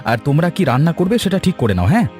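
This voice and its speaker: Cartoon villager, male actor: anxious family man, rapid and fairly high, music underneath. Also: very animated, darkish tone. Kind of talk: cartoon voice acting. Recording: music behind the voice.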